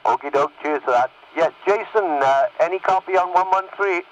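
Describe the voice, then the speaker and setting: A man's voice: high-pitched, a little fast. A man chatting casually as an amateur operator over CB radio. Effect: CB radio transmission. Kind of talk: casual radio net chat. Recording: noisy, distant CB radio signal.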